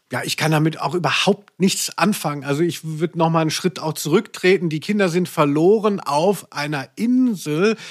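Higher-voiced man, dry studio-like sound. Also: no echo. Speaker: podcast co-host. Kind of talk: casual chat and commentary on radio plays.

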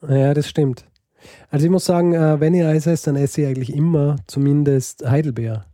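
Male podcast co-host, very dark mellow voice, hesitant and filler-heavy, dry close mic. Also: a little high, steady tone, brisk, no echo. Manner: hesitant, many fillers and restarts. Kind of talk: casual podcast chat.

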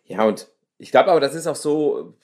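A man's voice: mid-range voice, animated, moderate pace, darkish tone. A man from the Ruhr area interviewing a guest in casual conversation, slangy and agreeable, with lots of fillers. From Ruhr area, Germany.

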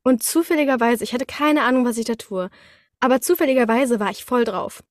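A woman's voice: a little high, fairly quick, dry recording, studio quality. A young woman chatting casually as an interview guest, telling her story in an enthusiastic, colloquial way. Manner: enthusiastic, colloquial, acts out quoted exclamations. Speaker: interview guest, studio voice artist.

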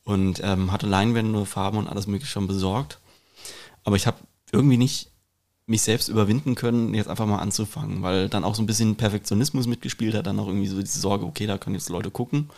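A man's voice: lowish voice, fairly even intonation, fast talker, creaky, darkish tone. A man talking in a casual podcast chat, reflective and informal, with English slang mixed in. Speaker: podcast host and painter.

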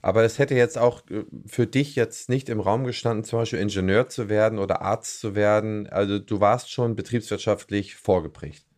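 A man hosting a podcast, reading a scripted introduction in a measured way and then asking questions in casual conversation. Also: fairly low pitch, fairly even intonation, a little mellow. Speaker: podcast host.

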